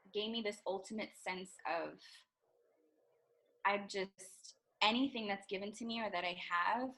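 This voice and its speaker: A woman from Bangladesh, raised in New York City, talking as an actress and interview guest over a video call, animated and earnest, laughing easily. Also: on the low side, rough recording.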